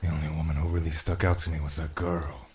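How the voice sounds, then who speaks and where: An actor playing a blunt, formal non-human attendant in an audio drama, dry and scornful of humans. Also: male voice, extremely low pitch, little pitch movement, fast talker, a little mellow. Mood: dry suspicion.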